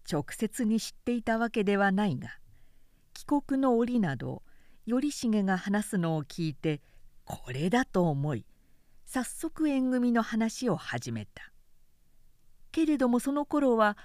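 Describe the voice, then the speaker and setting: A woman reading a literary short story aloud as a narrator, with measured, expressive storytelling delivery. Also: medium pitch, dry recording, studio quality. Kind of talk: scripted literary narration, audiobook recitation.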